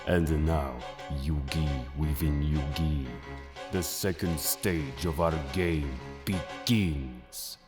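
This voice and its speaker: A man playing a grave, merciless mystic judge in an audio drama, speaking in an ominous, deliberate manner. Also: very deep voice. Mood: scheming. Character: solemn, merciless mystic judge passing sentence on souls. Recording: long cavernous reverb with music behind. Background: music.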